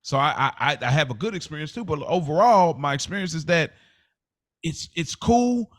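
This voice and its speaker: A man's voice: a little high, expressive, normal speed. A man in his thirties talking candidly and casually on a podcast, emphatic and repetitive, with slangy, confiding delivery. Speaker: podcast host.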